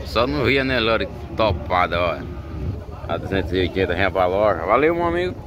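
A man's voice: low voice, lively intonation, moderate pace. A man with a Brazilian Northeastern accent chatting casually and colloquially as a vlogger, asking short questions and repeating answers. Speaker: vlogger and interviewer at a cattle fair. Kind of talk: casual chat, asking sellers prices. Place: outdoor cattle fair among wooden pens. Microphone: handheld phone camera. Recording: noisy outdoor cattle market.

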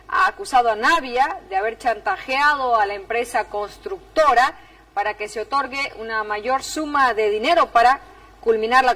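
A Bolivian woman reads the news as a studio anchor. Her voice sits fairly high. She sounds a little away from the microphone, delivering scripted lines. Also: a bit bright, distant mic, rough recording. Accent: Bolivian Spanish.

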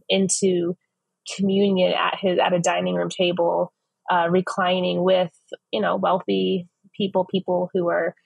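A woman's voice: on the low side, vocal fry, slightly rough, no room sound, poor audio. A woman in her forties from West Phoenix, answering earnestly over a video call, with many fillers and restarts. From West Phoenix, United States. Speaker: ministry leader, guest. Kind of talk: reflective interview answers. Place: home office, indoors.

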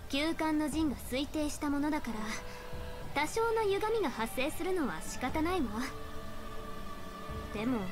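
High-voiced, commanding young seer. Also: female voice. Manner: authoritative, formal, explanatory. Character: small, self-assured young female seer, commanding and composed. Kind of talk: anime game dialogue. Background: game music.

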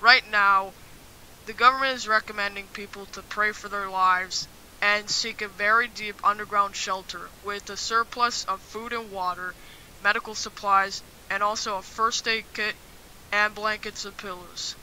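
A man playing an emotional radio newscaster facing doomsday, earnest and halting, in an amateur acted news bulletin with hiss. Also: high voice, fairly even intonation, pushed and bright, poor audio. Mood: grave.

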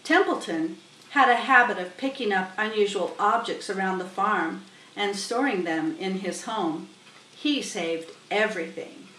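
A woman's voice: medium pitch, a little slow, bright, forceful tone, a little hiss or hum. A middle-aged woman narrating a children's story aloud, recorded on a webcam in a small room. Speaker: storybook reader. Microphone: webcam a little way off. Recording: roomy, distant webcam microphone.

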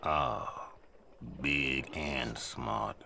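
A man playing a smooth, vain, flirtatious big hippo in a video game, crooning and boasting suavely. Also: a bass voice, very slow, pushed and bright, a little hiss or hum, full character voice. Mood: boastful flirting. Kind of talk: video game character acting.

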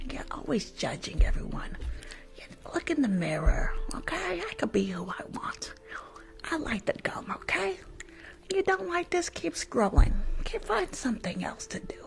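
A woman's voice: sits high, gravelly, low-quality recording. A young woman with a New York accent does an ASMR roleplay as a rude school nurse, speaking close to the microphone in a curt, sassy, dismissive way. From Bronx, New York. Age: young adult. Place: small room at home. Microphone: close desk microphone with fluffy cover.

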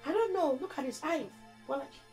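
An actress with a Nigerian accent playing a hot-tempered mother who scolds loudly in rapid outbursts. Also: high-pitched, soft background music. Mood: scolding.

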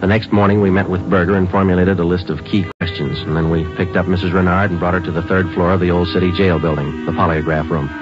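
Man as a deep-voiced, fast, deadpan detective narrating; lo-fi radio with music. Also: natural voice. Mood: flat. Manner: clipped, matter-of-fact. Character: terse male police detective, middle-aged. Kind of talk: 1950s radio drama narration. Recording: dull old radio recording with music.

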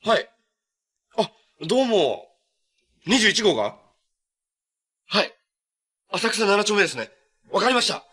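A man's voice: sits high, sing-song, measured pace, long pauses, pushed and bright, slightly rough, full character voice. A young man acting an upbeat, kind-hearted adventurer and hero in a live-action TV superhero drama, speaking in an earnest, friendly, boyish way. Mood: serious, focused. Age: young adult.

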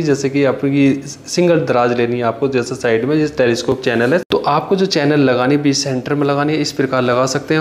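A young man of medium pitch walks through his handiwork, explaining it plainly and with many small pauses. His voice bounces around a hard-surfaced bedroom, picked up on a handheld phone. A faint room hum sits under the speech. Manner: plain explanatory talk with frequent "jaise ki" and pauses. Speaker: carpenter showing his furniture work. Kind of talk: walkthrough tutorial. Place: finished bedroom with hard floor and walls. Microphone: handheld phone camera. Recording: echoey room with faint ambient noise.

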